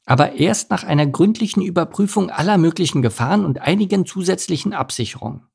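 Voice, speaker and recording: Storybook duckling, young male, overcautious and brooding, as a man acts him; high, lightly rough voice, very earnest. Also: animated, fast, a little mellow, exaggerated voice. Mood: stubborn, earnest. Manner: brooding, fretful. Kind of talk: storybook character voice.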